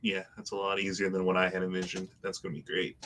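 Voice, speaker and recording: A man's voice: sits low, flat delivery, quick pace, background noise. A man on a podcast panel, bantering casually and jumping in with jokes. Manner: joking, interjecting.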